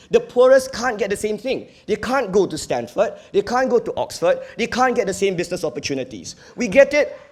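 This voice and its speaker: A young man with a Singaporean accent giving a competitive debate speech in an earnest, emphatic, argumentative manner. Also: high-pitched. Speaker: university debater.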